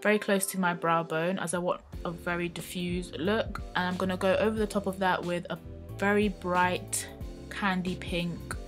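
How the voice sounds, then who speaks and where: A woman talking through her makeup tutorial in a relaxed, chatty voice-over with a slightly croaky, just-woken-up sound. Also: fairly low pitch, normal speed, over music. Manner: relaxed, chatty, with many ums and likes. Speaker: beauty vlogger.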